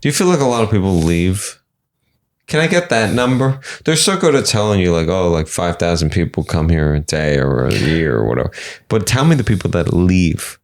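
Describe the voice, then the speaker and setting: Jokey low-voiced male host. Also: brisk. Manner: animated, jokey, swears casually. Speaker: podcast host. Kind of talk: casual podcast chat.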